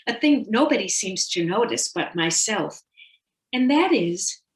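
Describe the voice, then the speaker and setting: A woman reading a first-person story as a confiding, fixated, increasingly paranoid Victorian wife, dramatic and deliberate, with loaded pauses. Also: medium pitch, reverberant.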